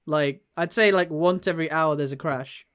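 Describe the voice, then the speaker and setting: A man with a fairly high-pitched voice talks as a podcast co-host. His delivery is casual and informal, full of relaxed slang, like friends chatting. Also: moderate pace. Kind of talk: casual gaming podcast chat.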